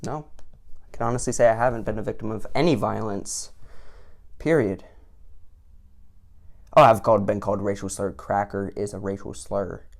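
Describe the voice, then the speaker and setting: A man's voice: lowish voice, fairly even intonation, moderate pace, creaky, long pauses. A teenage boy giving sarcastic commentary on an online quiz, with mock-indignant outbursts. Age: late teens. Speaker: vlogger.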